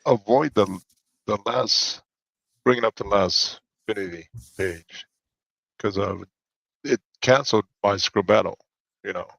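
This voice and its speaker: A man's voice: fairly low pitch, a little slow, creaky, a bit bright, no room sound, poor audio. A man bantering on a livestream, laughing often and playful. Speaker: guest artist on a drawing stream.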